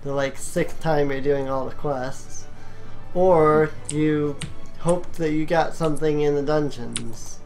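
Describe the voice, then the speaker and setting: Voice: medium pitch, takes it slowly, a little mellow. A gaming video host chatting casually during gameplay, explaining at length in a rambling, anecdotal way. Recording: game music behind voice.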